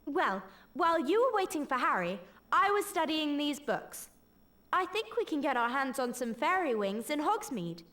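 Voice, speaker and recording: An actress playing a bookish, self-assured schoolgirl witch in a video game, with a brisk, knowing manner. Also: high voice, very animated, fairly bright tone. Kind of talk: video game cutscene acting.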